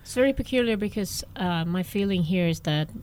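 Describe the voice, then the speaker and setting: Low-voiced woman, laughs often. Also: lively intonation, fairly quick, a little hiss or hum. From Sweden. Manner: warm, laughing often, thinking aloud. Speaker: guest entrepreneur. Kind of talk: casual interview chat.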